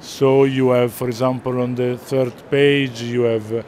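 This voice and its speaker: A middle-aged man with an Italian accent explaining artworks to visitors, pausing often to search for words. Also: mid-range voice, flat delivery, a little mellow. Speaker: art gallerist presenting works. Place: large indoor art fair hall. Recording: busy hall crowd noise.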